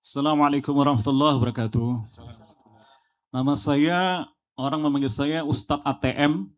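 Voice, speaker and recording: A man's voice: fairly high pitch, a little hiss or hum. A male preacher giving an emphatic speech at a press gathering, listing facts with rising force.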